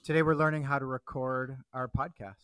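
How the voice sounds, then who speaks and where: A man's voice: medium pitch, level tone, fairly quick, loud music behind the voice. A man, a teacher, greeting a class in an upbeat voice.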